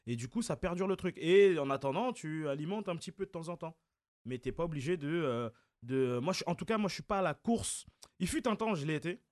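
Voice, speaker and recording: A man's voice: on the high side, expressive, brisk, a little mellow, no room sound, studio quality. A man in his thirties, a comedian, talking loosely in an interview with many fillers and restarts. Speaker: comedian and video creator. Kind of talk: relaxed interview talk.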